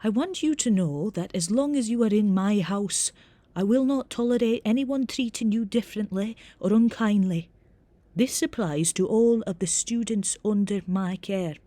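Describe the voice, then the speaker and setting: A woman's voice: mid-range voice, dark tone, no room sound, pitched above the performer's own voice, natural voice. A woman voicing a stern, formal older female teacher with a reedy Scottish accent in an audiobook. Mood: grave, firm. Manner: prim, measured, formal.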